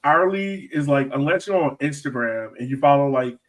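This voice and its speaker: A man's voice: medium pitch, lively intonation, fairly quick. Casual, slangy male podcast host. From Jamaica. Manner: informal, slangy, enthusiastic. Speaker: podcast host interviewing a guest. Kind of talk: casual, conversational interview.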